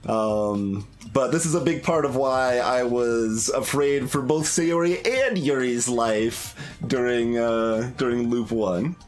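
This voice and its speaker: A man's voice: medium pitch, takes it slowly, few pauses. A man doing casual game commentary as a streamer, thinking aloud in a hesitant, analytical way. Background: game music.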